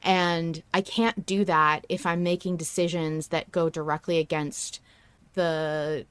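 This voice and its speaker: A woman's voice: low-pitched, little pitch movement, measured pace, clean tone, dry recording. A woman hosting a podcast, talking casually and emphatically as a fan with strong opinions. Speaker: podcast host discussing an audio drama.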